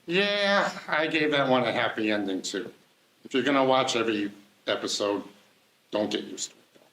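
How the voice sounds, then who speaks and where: A man's voice: mid-range voice, animated, normal speed, a bit bright, roomy sound, slight background noise, poor audio. A man hosting the show, giving a jokey, folksy closing sign-off. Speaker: show host.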